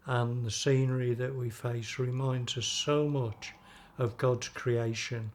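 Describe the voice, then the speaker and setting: Older male community member, unhurried, level intonation, reflective testimony. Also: mid-range voice, level tone. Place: indoors on a living room sofa.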